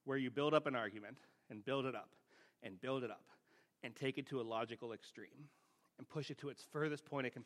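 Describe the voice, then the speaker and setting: A man's voice: medium pitch, a bit bright. A man preaching a teaching sermon in a plain-spoken, conversational way, often checking in with rhetorical questions.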